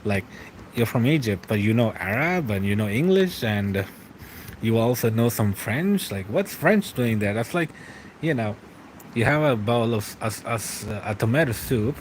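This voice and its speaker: A young man talks to his viewers late at night in a loose, meandering way, chuckling between thoughts and letting sentences trail. His voice sits at an ordinary middle pitch. A faint background hiss runs under his home desk mic. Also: moderate pace, low-quality recording. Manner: relaxed and rambling, laughs often, trails off. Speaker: streamer reading live chat. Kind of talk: late-night casual chat.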